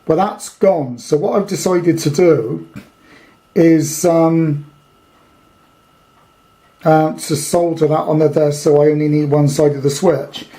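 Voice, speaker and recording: A man with a soft, mellow tone and a slightly high pitch talks through a repair job at his workbench. He is casual and unscripted, rambling a little as he thinks aloud in a matter-of-fact way. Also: steady tone, slow, long pauses. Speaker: hobbyist radio repairer presenting his video. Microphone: handheld camera, speaker behind it.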